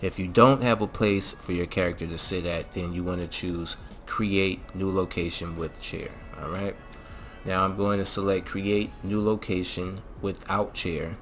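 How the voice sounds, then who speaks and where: A man's voice: low voice, steady tone, measured pace, a bit bright, a little hiss or hum. A man hosting a gaming channel, walking through a mod tutorial in a casual way with lots of fillers and a repeated "all right?".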